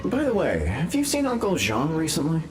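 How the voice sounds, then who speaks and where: Breezy happy ghost for a game, highish voice, super animated, chatty. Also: male voice, normal speed, music in the background. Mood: breezy, content. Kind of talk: video game voice acting.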